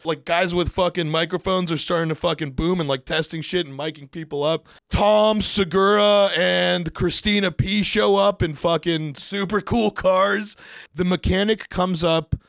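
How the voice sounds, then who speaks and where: A man telling work stories in a casual, animated way, swearing freely and acting out the conversations as he goes. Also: high voice, moderate pace, vocal fry, dry recording. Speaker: podcast studio staff member telling work anecdotes. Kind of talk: casual storytelling to camera.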